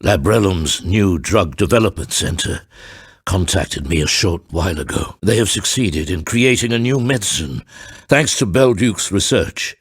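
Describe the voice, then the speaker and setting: Grave theatrical storyteller dad from a video game, husky low voice, formal and grandiose. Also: male voice, animated, normal speed, no room sound, studio quality. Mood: weary, solemn. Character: grave, theatrical father and storyteller ruling a town. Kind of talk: dramatic video game voice acting.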